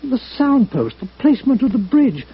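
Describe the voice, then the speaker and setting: A man's voice: extremely high pitch, sing-song, normal speed, husky, heavily acted. A man playing an indignant, snobbish violin maker in an old-time radio drama, with a pompous, fussy, stammering manner. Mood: flustered, exasperated. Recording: dull old radio recording.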